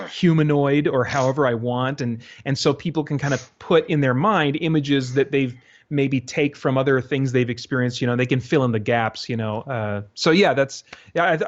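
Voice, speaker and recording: A man being interviewed casually as a guest, speaking in a halting way as he thinks aloud. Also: mid-range voice, highs cut off. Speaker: interview guest, audio drama creator.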